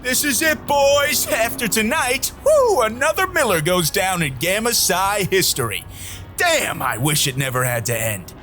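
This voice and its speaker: A man's voice: sits high, big pitch swings, a little slow, bright, forceful tone, soft background music, full character voice. A young man acting in an audio drama as a stubborn, proud fraternity leader with an emphatic, defiant manner. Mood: excited, wistful. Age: young adult.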